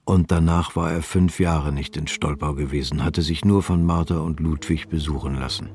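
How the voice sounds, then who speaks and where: A man narrating a literary radio drama in a calm, measured, subdued reading. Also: very deep voice.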